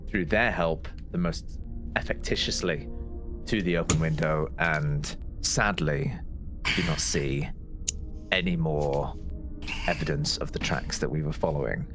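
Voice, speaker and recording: A man's voice: deep voice, fairly bright tone. A man casually recapping events as a player in a tabletop roleplaying game, hesitating, repeating words and trailing off.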